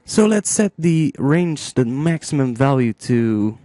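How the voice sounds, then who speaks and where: A man hosting a casual step-by-step music software tutorial, thinking aloud informally as he demonstrates. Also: mid-range voice, big pitch swings, a little slow, very noisy. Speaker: music production tutorial host.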